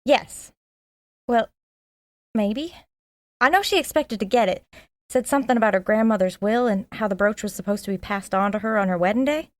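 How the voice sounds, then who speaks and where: A woman's voice: medium pitch, normal speed, long pauses, dry recording, natural voice. An actress playing an eager, chatty sidekick to a woman sleuth, earnest and inquisitive, in an audio drama. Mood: earnest, confiding. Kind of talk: audio drama acting, dialogue.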